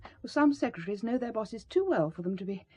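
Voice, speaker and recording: A woman's voice: on the high side, lively intonation, a little fast, dark tone, breathy edge, no echo. A woman playing an anxious, loyal secretary, hesitant, earnest and polite in an audio drama. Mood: perceptive, uneasy. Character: anxious, loyal British secretary, deferential but perceptive.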